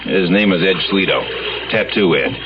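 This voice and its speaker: A dogged police lieutenant from a radio crime drama. His voice is deep, and he fires off questions in a blunt, clipped, insistent manner. The recording is muffled, with clear noise behind it. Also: expressive, moderate pace. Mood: brusque. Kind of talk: old-time radio crime drama acting.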